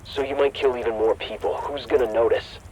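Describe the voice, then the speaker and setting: A man's voice: medium pitch, steady tone, a little fast, background noise, lightly acted. A man voicing a skeptical henchman who questions his boss in a doubtful tone.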